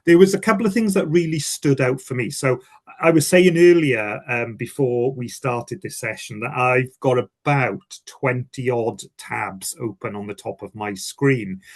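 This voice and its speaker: A man with a Welsh accent speaks at a medium pitch, giving a first-hand account as a communications professional. His delivery is informal and reflective, with repeated words and small self-corrections. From Wales. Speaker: communications director giving a testimonial.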